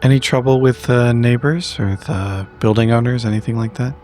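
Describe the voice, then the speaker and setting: A man's voice: mid-range voice, moderate pace, darkish tone. A man talking casually in character as a player in a tabletop roleplaying game.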